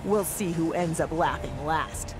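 An actress playing a tough, wry military officer in a video game, speaking with confidence. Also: female voice, sits low, a little slow. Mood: wry, defiant. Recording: game audio with music behind.

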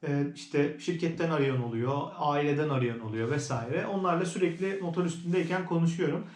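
Male vlogger, fairly high voice, conversational and explanatory gear review, echoey room. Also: brisk, darkish tone. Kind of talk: casual product review to camera. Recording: roomy indoor echo.

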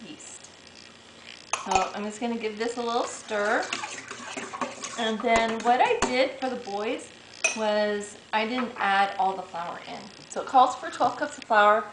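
A middle-aged woman chats her way through a home recipe in a roomy kitchen, her voice bouncing off hard surfaces. She sounds easygoing and homey and fills pauses with "um" as she works. A steady hiss of background noise runs under the recording. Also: medium pitch. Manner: chatty and homey, thinking aloud with fillers while working dough. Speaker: home cooking host. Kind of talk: casual cooking demonstration. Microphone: camera mic, a few steps away.

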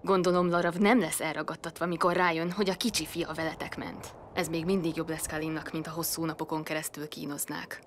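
An actress as a low-voiced, resigned woman soldier. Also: flat delivery, hardly any pauses, lightly acted. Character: loyal, resigned female soldier, quietly knowing. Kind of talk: audio drama acting. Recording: noisy ambience behind the voice.

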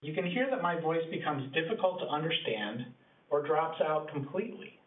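A man's voice: a little high, lively intonation, moderate pace, husky. A middle-aged man giving a scripted demonstration, heard through a speakerphone in a meeting room. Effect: speakerphone sound. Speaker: presenter. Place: small meeting room, indoors. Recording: muffled, lo-fi speakerphone pickup.

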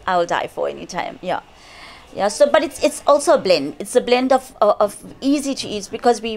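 A middle-aged woman talks in a warm, conversational interview, sitting in an indoor lounge. Her voice sits at a medium pitch, and she speaks in lively bursts, often restarting her phrases and gesturing as she goes. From South Africa. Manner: chatty, animated, with frequent restarts and hand gestures. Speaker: interviewee, cricketer's mother. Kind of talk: casual interview chat.

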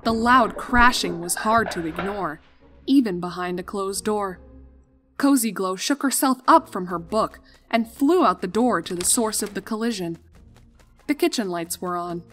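A woman narrates a scripted fan story in an expressive storytelling style. Her voice sits at a medium pitch, with music playing underneath. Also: normal speed.

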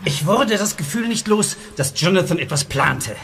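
An actor playing a worried film producer in a radio play, earnest and explanatory. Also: male voice, sits high, animated, moderate pace, creaky, fairly bright tone, a little hiss or hum, heavily acted. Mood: explaining. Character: worried middle-aged male film producer.

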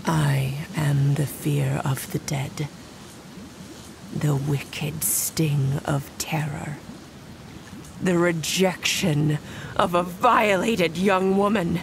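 A woman playing the undead young Bride of Frankenstein in a gothic horror audio drama, solemn, hushed and breathy in a poetic monologue. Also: sits low, fairly even intonation, background noise, full character voice. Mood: dark, fervent. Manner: breathy, hushed, incantatory, deliberate.

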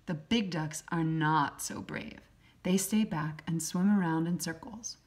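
Low-pitched woman reading a storybook. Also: unhurried. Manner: acts out sound effects. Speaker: music teacher reading a picture book aloud. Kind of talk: children's storybook read-aloud.

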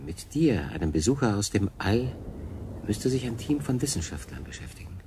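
An actor playing a stranded solo sailor in a radio drama, thoughtful, with a probing, deliberate, questioning manner. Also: male voice, on the low side, sing-song, gravelly, mellow, slight background noise. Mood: curious, probing. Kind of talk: German radio drama acting.

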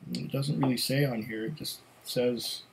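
A middle-aged man whose first language was German, giving casual whisky tasting notes at home, musing and pausing between sips, recorded on a webcam at desk distance. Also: medium pitch, fairly even intonation, a little hiss or hum. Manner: slow, musing, pauses to sniff and sip. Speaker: whisky reviewer. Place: home room with shelves of bottles.

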